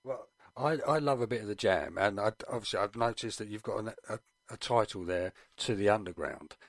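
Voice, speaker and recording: A man's voice: fairly low pitch, brisk, no echo, clean studio sound. A man co-hosting a radio show, casually putting questions to a guest. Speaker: radio co-host.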